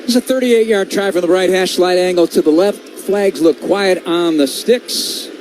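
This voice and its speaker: A man's voice: high voice, normal speed, a little mellow. An American man doing live play-by-play radio commentary on American football, in clipped phrases. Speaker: play-by-play sports announcer. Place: stadium broadcast booth. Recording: clear stadium ambience noise behind voice. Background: stadium ambience.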